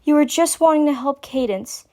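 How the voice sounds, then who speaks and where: Woman voicing an earnest, high-voiced caring unicorn mare. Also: moderate pace, a little mellow, lightly acted. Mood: reassuring. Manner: soothing, earnest, insistent. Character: caring, earnest young female unicorn, motherly and firm.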